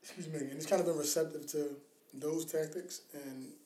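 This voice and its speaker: A man in his thirties talks casually on a podcast in a slightly high, soft-edged, mellow voice. He is slangy, drops curse words and likes to tease. The recording has a clear room echo. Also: steady tone, normal speed. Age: 30s. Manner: slangy, swearing, teasing banter. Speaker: podcast co-host. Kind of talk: casual chat about parenting. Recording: roomy, echoing sound.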